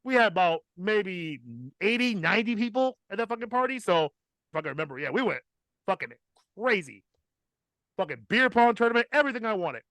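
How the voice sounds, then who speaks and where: A man's voice: high-pitched, animated, a little fast, sharp tone, no echo. A man hosting a podcast, telling a personal story in a casual, profane and emphatic comic style.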